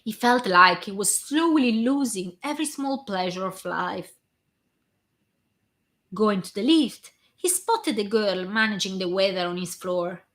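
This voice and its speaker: Hoarse woman reading a story aloud. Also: mid-range voice, unhurried. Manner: steady reading with small slips and restarts. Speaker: fan fiction reader.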